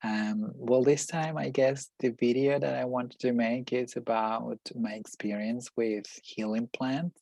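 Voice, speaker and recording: A man's voice: mid-range voice, fairly even intonation, unhurried, vocal fry, darkish tone, no echo. A man with a Peruvian Spanish accent, a native Spanish speaker, sharing personal reflections in a spiritual vlog and searching for his words as he goes. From Peru. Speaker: life coach and energy healer.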